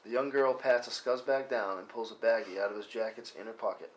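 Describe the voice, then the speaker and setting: A man's voice: fairly low pitch, fairly quick. Man reading stage directions, noisy recording. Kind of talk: scripted narration at a table read. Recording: noisy live room recording with clicks.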